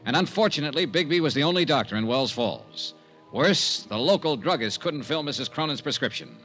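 Hard-boiled detective, lowish forceful voice, lively inflection, clipped wry delivery, radio serial. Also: male voice, fairly quick, soft background music. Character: tough, wry male insurance investigator narrating his case. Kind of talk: 1950s radio detective acting and narration.

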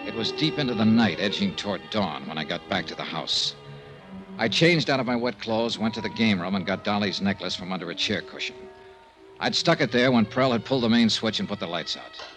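An actor playing a tough, weary private investigator with an American accent and clipped, matter-of-fact delivery in an old radio detective drama. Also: male voice, on the low side, expressive, a little fast, fairly bright tone, soft background music. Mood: grim, weary. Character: tough, weary male private investigator, narrating his case. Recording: noisy old radio serial recording.

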